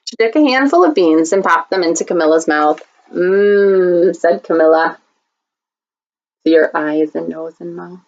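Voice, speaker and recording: A woman teacher reading a children's picture book aloud, expressive and speaking directly to young listeners. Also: low voice, moderate pace, muffled recording.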